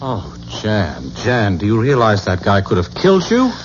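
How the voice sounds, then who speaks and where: A man's voice: on the low side, wildly swooping pitch, normal speed. An actor playing a worried, earnest male friend in a radio drama, grave and persuasive. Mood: concerned. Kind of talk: 1970s American radio drama acting. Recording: muffled old radio broadcast with faint hiss.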